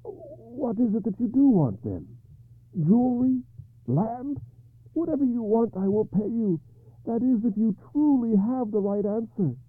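A man's voice: extremely high pitch, animated, a little slow, husky, no echo, voice pushed up, full character voice. A man voicing an anxious, proud medieval king who stammers and pleads. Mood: bargaining. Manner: pleading, rising to frantic. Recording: dry, phone-like narrow sound.

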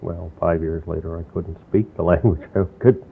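An older man from New York with a very low, gravelly voice tells long, wandering stories, circling back and restarting his sentences. The recording is thin and tinny like an old phone line, with a faint hiss underneath. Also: fairly even intonation, moderate pace. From New York, USA. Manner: rambling, reflective storytelling with restarts. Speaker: interviewee. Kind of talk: oral history interview.

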